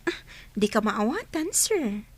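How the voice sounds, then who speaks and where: A woman's voice: a little high, very animated, slow pace, no echo, full character voice. An actress playing a curious, polite woman giving advice in an Ilocano radio drama. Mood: curious, concerned. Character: curious, polite woman advising a male client.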